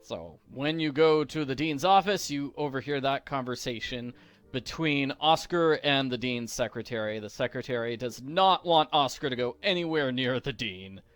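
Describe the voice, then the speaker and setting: A man's voice: medium pitch, moderate pace, a bit bright, no echo. A man giving casual, playful video game walkthrough commentary, with a theatrical streak.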